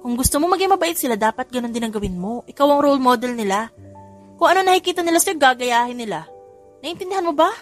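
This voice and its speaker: A woman voicing a bubbly, scheming young nanny who talks in an excitable, pleading way. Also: high voice, fast, soft background music, voice pushed up. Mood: scolding.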